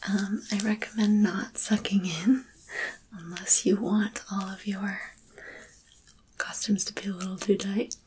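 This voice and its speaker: A young woman speaking softly and breathily, often whispering, in a gentle, reassuring ASMR roleplay as a wardrobe fitter, close to the microphone. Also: medium pitch, flat delivery, measured pace, a little hiss or hum, rough recording. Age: young adult. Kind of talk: soft-spoken ASMR roleplay, personal attention.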